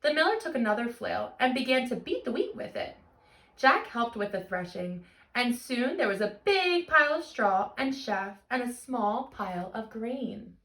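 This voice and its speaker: A woman hosting storytime like a teacher, reading a picture book to young children in an animated, expressive way. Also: a little high, normal speed, reverberant, distant mic, poor audio. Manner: animated, expressive teacher talk with simple questions and explanations.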